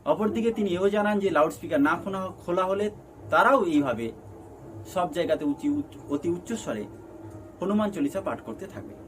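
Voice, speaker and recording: A man with a Bengali accent presenting a news explainer in halting, emphatic phrases. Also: high voice, lively intonation, fairly bright tone, low-quality recording. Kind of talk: scripted news explainer narration. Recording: noticeable background noise.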